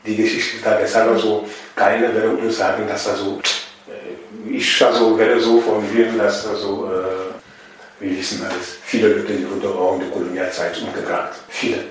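A man's voice: lowish voice, flat delivery, normal speed. A man from Togo whose first languages are Ewe and Mina, speaking as an interview guest with frequent fillers and emphatic repetitions. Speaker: interview guest, initiative member. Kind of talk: conversational interview. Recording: far from mic, echoey room, faint noise.

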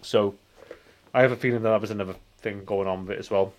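A man's voice: fairly low pitch, steady tone, fast talker, darkish tone. A British man in his forties co-hosting a casual film podcast, making points emphatically and repeating himself for effect. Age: 40s. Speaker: film review podcast co-host.

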